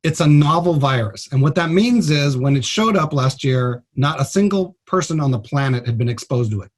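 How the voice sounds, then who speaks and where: Husky higher-voiced man, blunt doctor. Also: expressive, moderate pace. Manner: plain-spoken, blunt, informal. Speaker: trauma surgeon, guest doctor. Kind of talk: explanatory radio interview.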